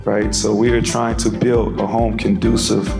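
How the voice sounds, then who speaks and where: A man with a low, gravelly voice talks in a flat, even tone. Music plays clearly underneath him. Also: normal speed. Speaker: panelist. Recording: music playing behind.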